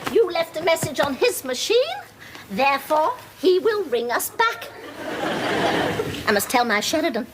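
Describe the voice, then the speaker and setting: An actress playing a pretentious, domineering middle-aged English housewife in a sitcom, with an affected, plummy voice. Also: female voice, high voice, very animated, a little slow, pauses often, very noisy. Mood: bossy. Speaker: sitcom character.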